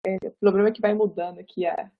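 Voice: medium pitch, level tone, quick pace. A moderator of an online talk, speaking hesitantly with fillers over a video call. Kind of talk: moderating a webinar. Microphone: video call webcam. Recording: dull lo-fi call audio.

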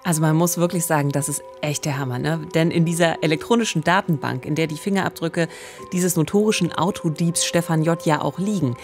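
A woman's voice: deep voice, brisk, faint music behind. A woman in her forties narrating true crime as a podcast host, speaking conversationally into a close studio microphone. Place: radio studio. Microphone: close studio microphone with pop filter.

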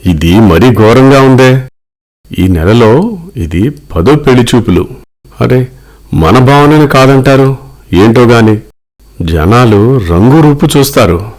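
A man's voice: medium pitch, expressive, takes it slowly, pauses often, darkish tone. A man voicing a wealthy middle-aged father, earnest and protective, in a cartoon story. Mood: indignant.

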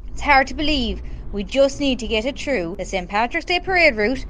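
Woman acting a brisk, animated Irish group leader. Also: sits high, a little hiss or hum. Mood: businesslike. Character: practical woman leading a secretive rural group, no-nonsense. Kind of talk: amateur radio drama acting.

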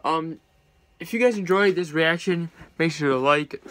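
A man's voice: a little high, moderate pace, fairly bright tone. A young man giving a casual, slangy, profane reaction vlog, recorded at a desk in a small room. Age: young adult. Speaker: reaction video host. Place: small indoor room at home.

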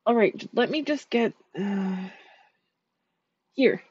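Soft-spoken dream eater spirit, voiced by a woman. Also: a little high, slow pace, husky, dry recording, muffled recording. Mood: gentle, soothing. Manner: soft, gentle, soothing. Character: sweet shy female dream eater spirit. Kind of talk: ASMR sleep-aid roleplay.

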